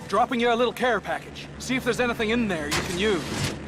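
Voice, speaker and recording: A folksy starship crewman, talks in a high-pitched male voice. Music plays behind him, as in a cinematic sci-fi game. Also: animated, quick pace. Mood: urgent, helpful. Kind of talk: cinematic video game acting.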